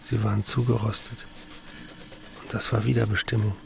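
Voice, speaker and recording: An actor playing a tormented, self-doubting male writer, reading letters and confessions in a brooding, deliberate literary style for a documentary. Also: on the low side, level tone, gravelly. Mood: bleak, resigned.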